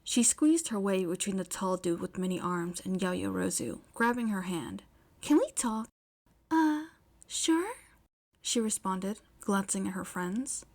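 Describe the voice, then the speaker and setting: A woman's voice: medium pitch, moderate pace, few pauses. A woman reading scripted fiction aloud as an amateur audiobook narrator.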